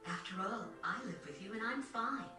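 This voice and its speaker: A woman's voice: medium pitch, expressive, moderate pace, over music, natural voice. A woman playing a caring mother in a video game, speaking in a tender and reassuring manner. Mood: concerned, reassuring. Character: caring, soothing mother of a sick girl. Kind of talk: English video game cutscene acting. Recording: distant, echoey TV game capture.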